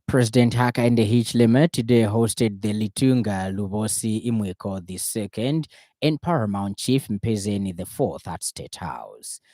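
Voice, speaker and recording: A man with a Zambian accent reading a scripted radio news bulletin in a formal, measured manner. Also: on the low side, dark tone, no room sound, clean studio sound. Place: radio studio.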